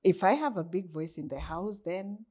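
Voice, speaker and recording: A Kenyan woman with a lowish, mellow voice, speaking as an interview guest. She reflects slowly and often asks herself rhetorical questions as she works through an idea. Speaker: guest, coach.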